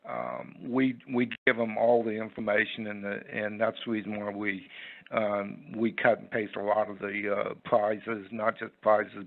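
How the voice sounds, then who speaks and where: A low-voiced man answers interview questions off the cuff over a thin, muffled phone connection. His voice has a gravelly creak. He talks in a halting, rambling, folksy way, feeling his way through each explanation. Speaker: interview guest, website owner. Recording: muffled, tinny phone line.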